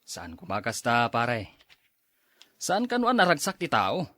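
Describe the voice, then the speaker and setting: A man's voice: medium pitch, wildly swooping pitch, measured pace, pushed and bright, no room sound, studio quality, full character voice. A man playing an easygoing drinking buddy who gives frank advice in a radio drama, talking casually. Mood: casual, advising.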